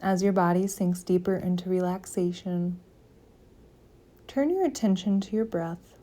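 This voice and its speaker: A woman leading a guided meditation, giving calm, gentle, soothing instructions with long pauses between phrases. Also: on the low side. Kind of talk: guided yoga nidra meditation.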